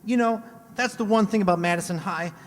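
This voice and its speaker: Earnest chatty high school lad acted by a man, high voice, 1940s radio sitcom style, roomy hall sound. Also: fairly quick, slight background noise, close to an everyday voice. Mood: eager, gushing. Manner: earnest, gushing. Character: eager, talkative teenage high school boy. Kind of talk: 1940s radio comedy acting.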